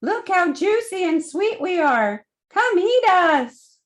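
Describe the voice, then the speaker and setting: A woman's voice: very high-pitched, very animated, slow, voice pushed up, heavily acted. A woman voicing ripe storybook melons that call out in an enticing, sing-song way. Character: juicy, tempting ripe melons calling out in storybook.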